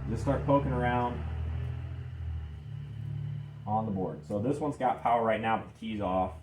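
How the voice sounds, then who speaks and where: Low-pitched man explaining a hands-on job. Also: moderate pace, background noise. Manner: informal, talks while working. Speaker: host of a DIY garage vlog. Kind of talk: casual hands-on how-to explanation.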